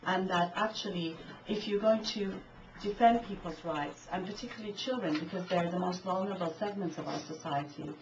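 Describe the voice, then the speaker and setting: A woman's voice: on the low side, brisk, hardly any pauses. A woman, an invited speaker, answering a question at a public talk in an earnest, arguing way. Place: event hall. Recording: distant mic, dull, light hiss.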